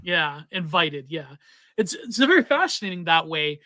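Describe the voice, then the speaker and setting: Podcast host guy, pretty high bright voice, into it, thinks out loud. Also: very animated, moderate pace, dry recording, studio quality. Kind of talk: casual conversation.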